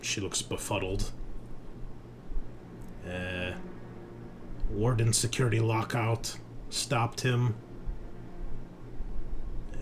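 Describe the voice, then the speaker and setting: A man acting as game master, narrating a tabletop roleplaying game in an improvised way. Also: lowish voice. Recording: noisy room with steady background ambience.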